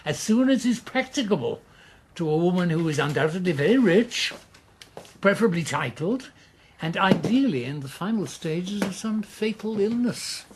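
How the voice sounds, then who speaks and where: An actor playing a scheming, roguish old Irish gambler uncle in a radio drama, wry and wheedling, with a gravelly, husky voice. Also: male voice, high voice, animated, normal speed, background noise. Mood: wry, persuasive. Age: older.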